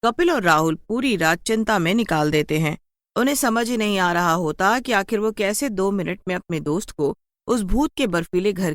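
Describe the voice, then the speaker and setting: A woman's voice: fairly low pitch, dry recording, clean studio sound. A woman narrator reading a scripted horror story for children.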